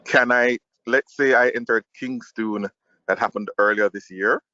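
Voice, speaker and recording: A man's voice: mid-range voice, fairly even intonation, unhurried, bright, forceful tone, dry recording. A polite man asking questions as a participant in an informal online meeting. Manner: polite, apologetic. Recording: dry but dull online-call audio.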